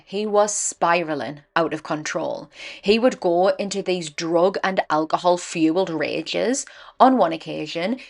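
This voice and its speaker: A young woman hosting a true crime podcast, her voice croaky and dry from a cold, telling the case in an earnest, measured way. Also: on the low side. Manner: earnest, measured storytelling with emphatic stresses. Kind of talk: scripted true crime narration.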